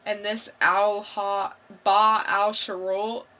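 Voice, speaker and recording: A woman's voice: mid-range voice, flat delivery, takes it slowly, sharp tone. A woman co-hosting a podcast, chatting casually and informally about comics, often thinking aloud in a hesitant way. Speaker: podcast co-host reviewing comics. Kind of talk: casual chat.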